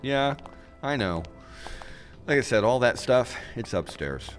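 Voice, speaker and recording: A man's voice: medium pitch, big pitch swings, a little slow, soft background music. A man playing a casual, teasing trickster who guides a friend through hell in a comedic radio play. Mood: breezy. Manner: breezy, teasing.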